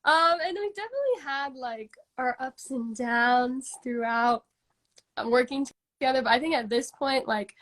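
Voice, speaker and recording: A woman's voice: high-pitched, normal speed, a bit bright. A woman in her twenties with a congested voice, chatting casually as an interview guest, full of "like" and "um" fillers. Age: 20s. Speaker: singer-songwriter guest.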